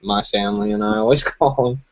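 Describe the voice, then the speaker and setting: A man's voice: on the low side, fairly even intonation, fairly quick. A man hosting a casual gaming chat, chatty and easygoing, laughing often between his thoughts. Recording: dull lo-fi stream audio with noise.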